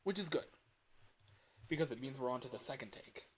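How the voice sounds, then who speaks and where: A man's voice: mid-range voice, expressive, brisk. A man streams casually from a home setup, muttering his thoughts aloud as he works. His delivery is loose and conversational, with a faint computer fan hiss underneath. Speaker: streamer and amateur voice actor. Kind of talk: casual livestream chat. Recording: hissy home recording, computer fan noise. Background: computer fan hum.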